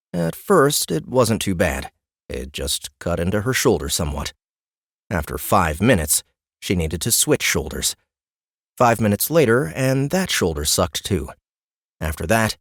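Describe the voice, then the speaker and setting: A man narrating a fantasy audiobook in a wry, expressive storytelling manner. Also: on the low side, no room sound, studio quality.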